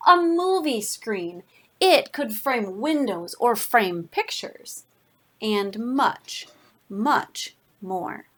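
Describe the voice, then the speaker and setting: A woman reading a picture book aloud to children expressively, unhurriedly adding asides and pointing things out along the way. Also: sits high.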